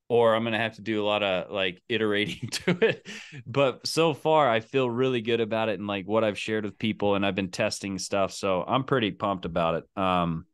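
A man's voice: lowish voice, a bit bright, clean tone, no echo, clean studio sound. A middle-aged man chatting casually as the host of a coaching call, indoors on a video call. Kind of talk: casual chat. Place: indoor home office.

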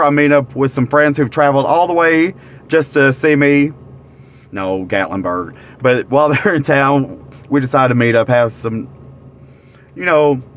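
A man's voice: medium pitch, a bit bright, a little hiss or hum. A man, reviewing a meal to camera from inside a parked car in a rambling, easygoing way with lots of fillers. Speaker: vlogger, restaurant reviewer. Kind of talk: casual review talk to camera. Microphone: phone camera at arm's length.

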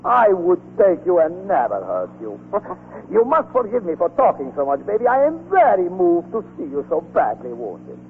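An actor playing an effusive, teasing Italian army officer in a wordy, affectionate manner, in 1940s radio drama style. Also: male voice, high-pitched, huge pitch swings, moderate pace, full character voice. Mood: cheerful, fussing. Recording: muffled, noisy 1940s radio broadcast recording.

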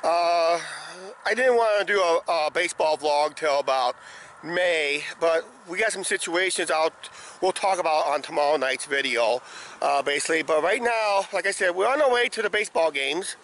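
A middle-aged man with a slightly hoarse voice, chatting casually and ramblingly as a vlog host, recorded outdoors on a handheld camera. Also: on the high side, expressive, normal speed, fairly bright tone, poor audio. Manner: rambling, repeats "uh, basically". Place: outdoors, roadside and ballpark. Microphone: handheld camera at arm's length. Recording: clear outdoor traffic and ambient noise.

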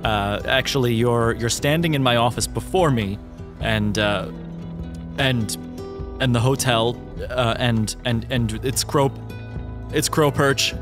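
A man's voice: medium pitch, slow, music in the background, lightly acted. A man voicing a flustered, apologetic hotel proprietor who stammers and repeats himself nervously.

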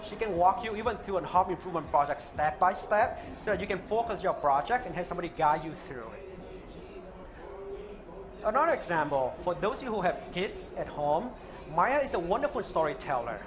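A man in his thirties with a thick Vietnamese accent, earnestly pitching a product live on stage on a headset mic. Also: lively intonation, crowd noise. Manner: earnest, halting non-native phrasing. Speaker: startup founder pitching. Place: open-air stage at a trade show.

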